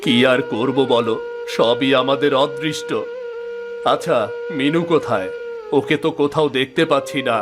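A man voicing a poor, worried village woodcutter and gentle father in a cartoon, with a plaintive manner. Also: mid-range voice, moderate pace, long pauses, lightly acted. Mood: worried, despairing. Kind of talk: Bengali cartoon voice acting. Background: music.

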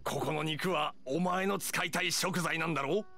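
An actor playing a stern, direct hunter chief in an anime game, blunt and firm. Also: male voice, a little high, normal speed, gravelly. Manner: blunt, firm, matter-of-fact. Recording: studio voice over soft music.

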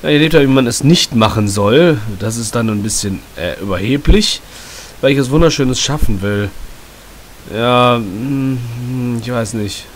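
A man with a slight cold commenting casually and sarcastically on a story game, often clearing his throat. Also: mid-range voice, measured pace. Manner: chatty, joking, sarcastic. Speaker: let's play host. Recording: hissy home mic.

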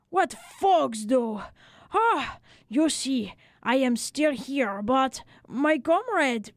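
Lady doing a chatty tired old french barber guy for a story, very animated, exclaims and sighs out his philosophy. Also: on the high side, dry recording. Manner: exclamatory, lamenting, drawn-out phrasing. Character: small old Frenchman barber, weary, talkative, wryly philosophical.

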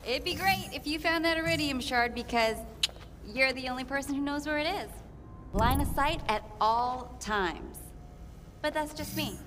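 A loyal female assistant in a video game, dry and teasing. Her voice is high and understated, carried in a long reverb with faint noise behind. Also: moderate pace, fairly bright tone. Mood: wry, teasing. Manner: wry, understated.

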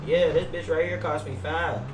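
A man's voice: on the high side, level tone, normal speed, over a noisy crowd. A young man livestreaming a game, talking in casual, brash, profane slang. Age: young adult. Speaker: game streamer. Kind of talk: casual livestream gaming banter. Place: indoor room at a gaming setup. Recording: roomy, distant sound with faint noise.

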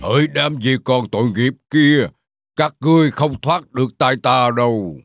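A man's voice: mid-range voice, moderate pace, no echo, clean studio sound, faint music behind, close to an everyday voice. A man voicing a gloating, menacing big bad wolf in a children's cartoon.

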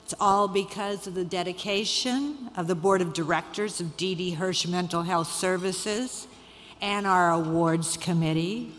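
Woman, middle-aged, event chair reading a prepared speech at a slow pace, long hall reverb. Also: lowish voice, a bit bright. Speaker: event chair giving opening remarks. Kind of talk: prepared speech at an awards ceremony. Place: large event hall, on stage. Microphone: podium microphone. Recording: hall reverb, background noise, podium microphone.

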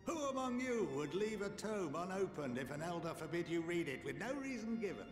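An actor playing an elderly academy head in a game, wry, formal and eloquent, impatient but fair-minded. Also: male voice, high voice, sing-song, a little fast. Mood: measured, persuasive. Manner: formal, eloquent, dryly exasperated. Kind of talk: video game cutscene acting. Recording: echoey hall reverb, music behind.

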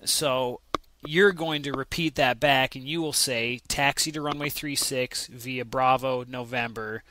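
American guy doing a tutorial voiceover, bright crisp-edged voice, explains stuff in a conversational way. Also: mid-range voice, fairly even intonation, unhurried, slight background noise. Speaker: flight simulation instructor. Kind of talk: instructional slideshow narration, conversational.

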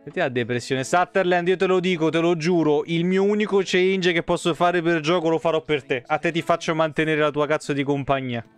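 A man's voice: on the high side, steady tone, a little fast, few pauses, clean tone, soft background music. A man in his thirties with a Roman Italian accent, giving casual, slangy, sweary live commentary on a video game. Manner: slangy, swearing, muttering asides. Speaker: game streamer. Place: small room, indoors.

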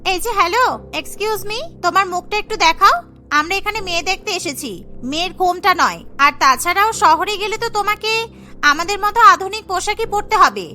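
A woman's voice: extremely high pitch, lively intonation, fairly quick, bright, forceful tone, soft background music, full character voice. A woman voicing a whiny, cheeky college-age girl in a cartoon. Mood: confrontational.

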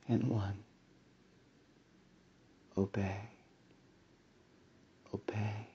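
A man delivering a slow hypnotic induction in a soft, soothing voice with breathy whispers and a repetitive commanding cadence. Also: fairly low pitch, muffled recording, a little hiss or hum. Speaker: hypnosis audio creator.

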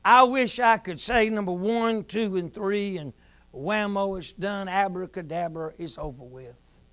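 High-pitched man preaching from a pulpit. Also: unhurried. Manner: preaching in rising shouts, then dropping to a hush. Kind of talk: sermon. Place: small church sanctuary, at a pulpit.

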